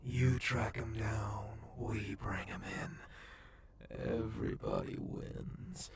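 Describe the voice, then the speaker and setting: A man playing a sadistic cyborg bounty hunter boss in an ASMR horror roleplay, with a gravelly half-whisper and slow, taunting delivery. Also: on the low side, huge pitch swings, over a noisy crowd, exaggerated voice. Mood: mocking menace. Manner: slow, menacing, drawn-out taunting.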